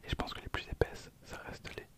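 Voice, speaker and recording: A man in his twenties whispering for an ASMR video, soft and hesitant with frequent fillers, speaking close to a recorder. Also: a little fast. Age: 20s. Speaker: ASMR creator. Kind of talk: whispered ASMR card opening. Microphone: handheld recorder behind the camera.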